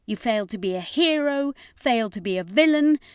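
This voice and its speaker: Narrator as a scornful woman, raised pitch. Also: expressive, a little fast, clear, resonant tone, dry recording, studio quality, close to an everyday voice. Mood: scornful, defiant. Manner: scornful, emphatic. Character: defiant, scornful woman taunting her enemy.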